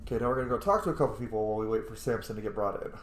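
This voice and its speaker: A man's voice: lowish voice, racing, slight background noise. A man giving casual, jokey playthrough commentary on a video game, slangy and chatty. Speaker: video game streamer and role-player. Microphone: microphone. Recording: echoey room.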